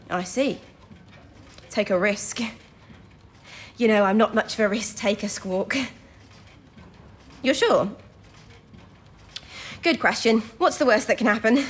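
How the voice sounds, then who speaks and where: Eager young codebreaker, high-voiced. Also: female voice. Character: young female wartime codebreaker, eager, self-doubting but daring. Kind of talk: audio drama acting, thinking aloud.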